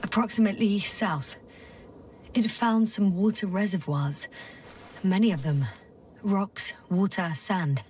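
An actress playing a weary, stubborn scientist in a video game, speaking in a breathy, halting, wry way. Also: female voice, mid-range voice, a little mellow, distant mic, slight background noise, lightly acted. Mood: focused, observing. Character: weary, stubborn female space-mission scientist exploring a planet. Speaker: game protagonist, doctor on a space crew. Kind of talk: naturalistic video game voice acting.

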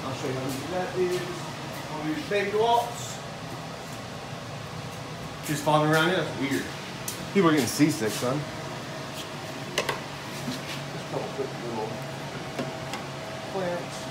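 A man's voice: a little high, lively intonation, measured pace, long pauses, heavy background noise. Tired joking man in a garage. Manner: joking, self-mocking, talks while working. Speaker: vlogger building a squirrel table. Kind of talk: casual how-to woodworking vlog. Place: home garage workshop. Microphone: handheld phone camera.

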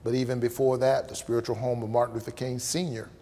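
A man pastor addresses his congregation in a measured preaching cadence. His voice sits at an ordinary male pitch, and a long church reverb trails each phrase. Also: little pitch movement, mellow. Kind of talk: pastoral welcome and announcements. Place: indoors, church hall with blurred backdrop. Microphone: lapel.

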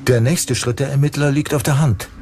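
A man's voice: medium pitch, fairly even intonation, fairly quick. A man giving scripted true-crime documentary narration as the narrator. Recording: music bed under the voice.

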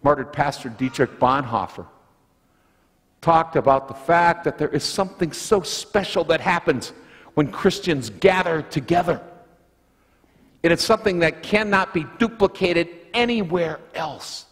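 A man's voice: a little high, unhurried. An older man preaching a sermon as pastor in a church sanctuary, telling stories with folksy asides. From United States. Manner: lively storytelling, folksy asides. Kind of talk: sermon with object lesson. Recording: long church reverb, slightly husky sound.

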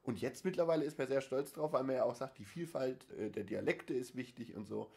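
Male podcast co-host, mid-pitched, casual, rambling, deadpan asides. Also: fairly quick, vocal fry. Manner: rambling, dry jokes, many fillers and restarts. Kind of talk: casual chat.

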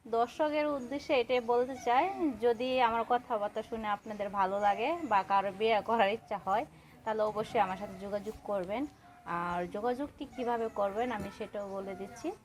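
A woman's voice: fairly high pitch, steady tone, normal speed, slight background noise. A young woman from Kishoreganj with a Bangladeshi accent, speaking colloquially as a guest in a personal interview. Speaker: interview guest seeking a husband.

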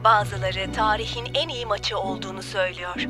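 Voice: medium pitch, fairly even intonation, a little fast, music in the background, lightly acted. A performer playing a grand documentary narrator in a cartoon, praising football legends in scripted declarative lines. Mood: solemn, praising.

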